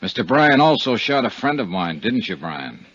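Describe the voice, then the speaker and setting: A man's voice: mid-range voice, lively intonation, normal speed, a bit bright, husky, dry recording. An American man acting a shrewd, world-weary insurance investigator who narrates in a 1950s radio detective drama. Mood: stern, weary. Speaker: lead actor and narrator. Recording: muffled 1950s radio recording.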